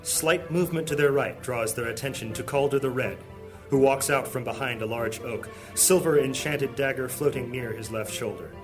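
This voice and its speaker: A man narrating a high fantasy audio drama as a solemn, scripted storyteller. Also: normal speed, over music, natural voice, poor audio.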